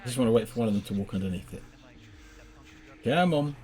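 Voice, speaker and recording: A man chatting casually as he plays a game, making playful, deadpan jokes as co-host. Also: mid-range voice, fast. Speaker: gaming video co-host playing the game. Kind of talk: casual chat while playing a game.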